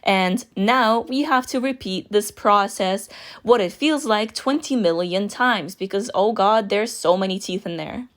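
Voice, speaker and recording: Raspy-voiced woman chatting through a tutorial. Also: medium pitch, unhurried, a bit bright. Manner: chatty, storytelling asides. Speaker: makeup vlogger. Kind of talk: casual voice-over tutorial.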